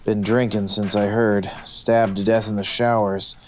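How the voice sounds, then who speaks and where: Low-voiced, seedy washed-up wrestler. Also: male voice, level tone, measured pace, fairly bright tone, a little hiss or hum. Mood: uneasy, weary. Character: seedy, washed-up ex-wrestler, skinny drinker and smoker. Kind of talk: noir audio drama dialogue.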